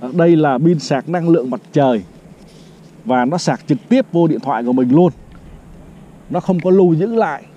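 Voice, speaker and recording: Casting: man in his 50s, friendly reviewer tone, slightly high and mellow, on a rooftop with a lapel mic. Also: animated, normal speed, pauses often, a little hiss or hum. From Vietnam. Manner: chatty, explains step by step, fills with "đó" and "vâng". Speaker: product reviewer. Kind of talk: casual product review vlog. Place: rooftop terrace outdoors in a city. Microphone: clip-on lapel mic. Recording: slightly dull lo-fi outdoor sound.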